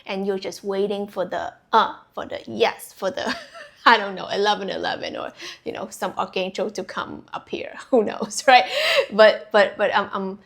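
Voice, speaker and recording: A woman's voice: medium pitch, fairly even intonation, moderate pace, creaky, a bit bright. A young adult woman from Vietnam, a spiritual coach giving a chatty intuitive reading straight to her viewers, full of fillers and restarts. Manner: chatty, many fillers and restarts, laughs easily. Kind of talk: casual intuitive reading to camera.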